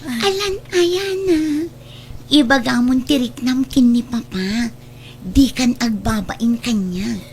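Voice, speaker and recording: A woman's voice: on the high side, slow pace, a little hiss or hum. A woman playing an affectionate grown daughter in a radio drama, pleading and coaxing like a playful matchmaker. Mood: teasing, delighted.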